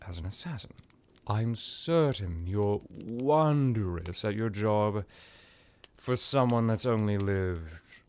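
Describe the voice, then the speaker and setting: A man performs a centuries-old vampire with a low voice that swings dramatically up and down. He is pompous and wry, rambling to the listener with theatrical pauses in a roleplay audio drama. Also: gravelly, heavily acted. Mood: condescending. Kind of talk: roleplay ASMR monologue to the listener.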